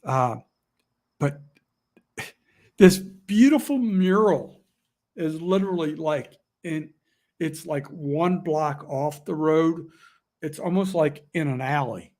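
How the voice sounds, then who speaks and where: A man talking to camera in an emotional, rambling vlog, halting often with fillers and restarts. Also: a little high, darkish tone. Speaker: outdoor vlogger. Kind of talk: emotional casual monologue.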